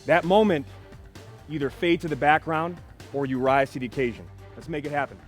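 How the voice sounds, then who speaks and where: A man who is a fitness trainer and judge, coaching in an energetic, pumped-up, motivational way. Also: on the high side, fairly quick, music in the background. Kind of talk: hyped-up workout coaching.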